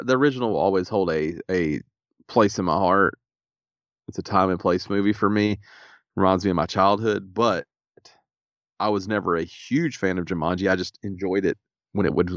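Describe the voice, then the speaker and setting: A man, co-hosting a podcast in casual chat, a little stuffy and raspy, laid-back with dry humor. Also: lowish voice, a bit bright, no echo, highs cut off. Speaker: podcast co-host.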